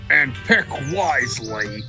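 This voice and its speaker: An actor playing a huge, pushy, boastful squirrel in an audio drama, rough-voiced, with a brash grumbling drawl. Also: medium pitch, animated, slow, bright, forceful tone, soft background music, full character voice. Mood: smug. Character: huge pushy boastful squirrel who likes to scare travelers. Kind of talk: audio drama character acting.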